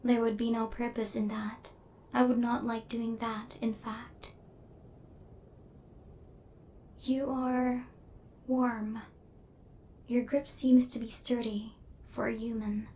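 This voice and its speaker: A woman voicing a female killer robot in an ASMR roleplay, speaking in formal, clinical, literal phrases with a stern yet softening temper. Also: fairly high pitch, little pitch movement, takes it slowly, a little hiss or hum. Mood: soft, tentative.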